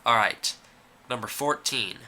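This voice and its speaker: A man's voice: medium pitch, unhurried, bright, forceful tone. A man with a cold giving casual, opinionated commentary on monster films to his channel. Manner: opinionated, with frequent fillers. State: has a cold. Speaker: YouTube commentator.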